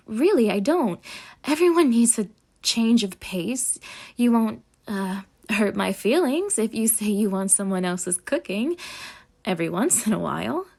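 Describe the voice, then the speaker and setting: A woman playing a sweetly possessive, obsessed girlfriend in an intimate roleplay audio, coaxing and dramatic with breathy asides. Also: on the high side, a little mellow. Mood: insistent, doting. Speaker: ASMR voice actor.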